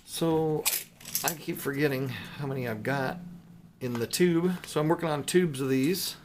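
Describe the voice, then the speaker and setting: A man's voice: a little high, unhurried, noisy background. A man chatting casually and unscripted to viewers as a hobbyist host, informal and rambling, thinking aloud as he goes. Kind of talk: casual unscripted chat to viewers while showing items.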